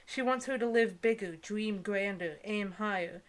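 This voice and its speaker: A woman's voice: medium pitch, moderate pace. A woman co-hosting a comedic book show, mixing dramatic reading aloud with casual, sarcastic commentary.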